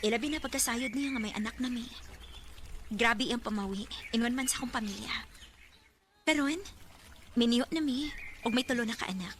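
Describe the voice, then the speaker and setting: A woman's voice: a little high, moderate pace, pauses often, a bit bright, close to an everyday voice. A woman playing a hurt, guarded single mother in a radio drama, with a Cebuano Filipino accent. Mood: reflective. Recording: clearly noisy radio drama track.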